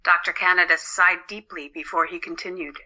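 A woman's voice: fairly low pitch, steady tone, brisk, sharp tone, highs cut off. A woman narrating a scripted dramatic story as an audio drama narrator. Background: music.

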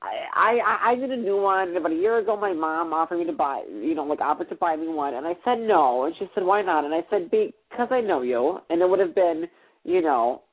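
A man's voice: on the high side, lively intonation, a little fast. A young man co-hosting a casual chat show, rambling anxiously with lots of restarts and fillers. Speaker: podcast co-host. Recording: tinny phone-like sound.

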